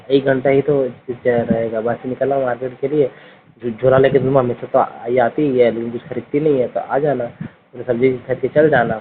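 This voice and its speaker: A man with an eastern Indian Bihar-Jharkhand Hindi accent in a casual private phone chat, pressing insistently with repeated questions. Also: medium pitch. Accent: eastern Indian Hindi, Bihar-Jharkhand region. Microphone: phone line. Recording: noisy, narrowband phone call recording.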